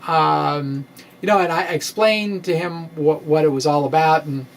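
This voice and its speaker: A middle-aged man gives an informal, theatrical science monologue in a halting, quirky storytelling manner. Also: fairly high pitch, slight background noise, poor audio. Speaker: science vlogger, amateur physicist. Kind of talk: informal dramatic blog reading, explanatory monologue. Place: indoor room with bookshelves. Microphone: microphone on stand, close in front.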